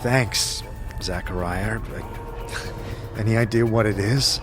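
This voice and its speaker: Male actor, low-pitched somber wanderer, terse, hesitant, very slow delivery, audio drama. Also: over music.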